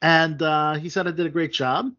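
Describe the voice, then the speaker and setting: A man's voice: a little high, fairly even intonation, moderate pace, pushed and bright. A man hosting a casual chat show, talking at length with many fillers and restarts. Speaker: podcast host. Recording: dull lo-fi sound.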